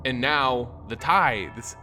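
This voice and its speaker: A man giving a dramatic, scripted narration of a dark fantasy story in a solemn, theatrical way with deliberate phrasing. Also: medium pitch, lively intonation, soft background music.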